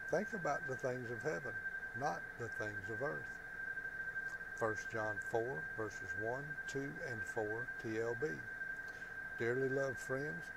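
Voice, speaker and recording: An older man reading aloud for a devotional in a measured, declarative way. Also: medium pitch, gravelly. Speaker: devotional host and scripture reader. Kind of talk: scripture reading. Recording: very noisy recording with loud ambience.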